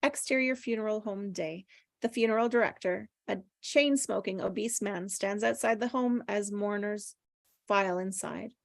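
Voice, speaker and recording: A woman narrates a script's stage directions at a table read. Her mid-range voice has a noticeable creak, and the recording is close and dry. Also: medium pitch, normal speed, no echo.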